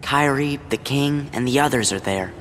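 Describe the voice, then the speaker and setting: A man's voice: mid-range voice, moderate pace, long reverb tail, a little hiss or hum, natural voice. A young man with an American accent playing an earnest, upbeat teenage boy hero in a video game cutscene. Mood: sincere. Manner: boyish, expressive, earnest.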